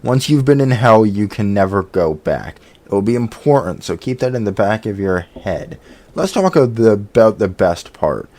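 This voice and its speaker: A man with a fairly low voice delivers opinionated review commentary. His phrasing is informal and peppered with swearing. The sound is close and dry, with little room around it. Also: lively intonation, normal speed, a little mellow, dry recording. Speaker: video reviewer.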